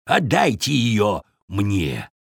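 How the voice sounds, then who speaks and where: A man's voice: fairly low pitch, huge pitch swings, drawn-out words, gravelly, slightly rough, no echo, clean studio sound, full character voice. A man voicing a grumpy, demanding bear in a children's story, growling and grumbling. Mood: gruff, demanding.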